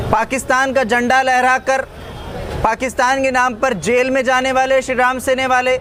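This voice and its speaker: A man from India giving an impromptu political statement to the press, in deliberate, denunciatory phrases. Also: extremely high pitch, background noise.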